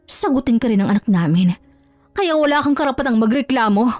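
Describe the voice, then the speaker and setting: A woman's voice: on the high side, lively intonation, a little fast, darkish tone, no room sound, soft background music, close to an everyday voice. A woman voicing a haughty, scornful middle-aged mother, bossy and sneering, in a dramatized love story. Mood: scornful, angry.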